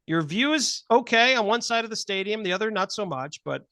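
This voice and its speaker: A man's voice: sits high, lively intonation, brisk, pushed and bright, no room sound, clean studio sound. A middle-aged American man hosting a podcast in a casual, conversational style. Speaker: podcast host.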